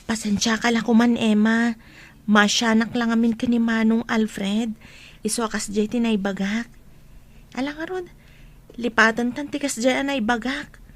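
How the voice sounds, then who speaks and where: A woman's voice: a little high, steady tone, normal speed, long pauses, close to an everyday voice. An actress playing a nosy, concerned young college woman in a radio drama, with a Filipino accent. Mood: apologetic. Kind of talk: Ilocano radio drama acting.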